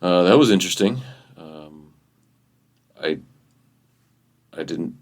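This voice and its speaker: A man in his fifties from Hawaii, a podcast guest telling his story in an easygoing, halting way, often restarting his sentences. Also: deep voice, lively intonation. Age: 50s. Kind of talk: casual interview chat.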